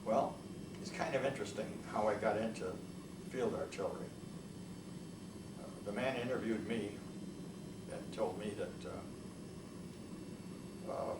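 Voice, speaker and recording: A man's voice: fairly low pitch, measured pace, long pauses. Elderly man rambling with many restarts. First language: Italian. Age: older. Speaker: veteran interviewee. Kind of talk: oral history interview. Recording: echoey room, mic far off, noisy.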